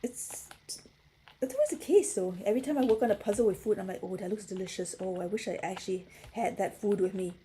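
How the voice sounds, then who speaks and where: Middle-aged woman, warm-toned, chatty, casual livestream chat, faint background hiss. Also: medium pitch, quick pace, low-quality recording. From Singapore. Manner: chatty, reads and answers chat comments. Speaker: puzzle livestream host.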